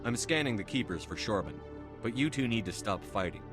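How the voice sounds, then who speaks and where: A firm military commander played by a man from a video game. His voice is low and he talks briskly, direct and controlled with natural authority. Music is clearly audible behind him. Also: lively intonation, natural voice. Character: firm, no-nonsense male military commander hero.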